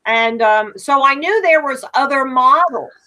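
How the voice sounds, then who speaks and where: An American woman with a loud voice that carries, chatting casually and telling anecdotes with emphasis on an online panel. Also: high-pitched, animated, a little slow, rough recording. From United States. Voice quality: loud, projecting voice. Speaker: panel guest.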